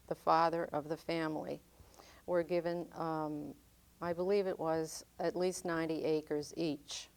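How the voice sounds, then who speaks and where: An older woman who grew up speaking French in northern Maine, recounting her family history in an unhurried, reflective way with frequent pauses. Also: sits low, clear, resonant tone. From northern Maine, United States. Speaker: interviewee. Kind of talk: oral history interview.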